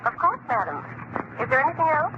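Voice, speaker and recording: A woman's voice: sits high, sing-song, brisk. A woman playing a prim, efficient airport information clerk in an old-time radio drama, speaking formally. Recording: muffled, noisy vintage radio recording.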